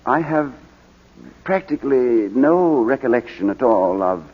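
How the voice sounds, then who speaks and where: An actor playing a middle-aged British baronet and war veteran on the witness stand, hesitant and clipped, in 1940s radio drama style. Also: male voice, medium pitch, lively intonation, a little slow, breathy edge. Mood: hesitant, reserved. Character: proud English baronet, war-wounded veteran testifying in court. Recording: muffled vintage 1940s radio broadcast.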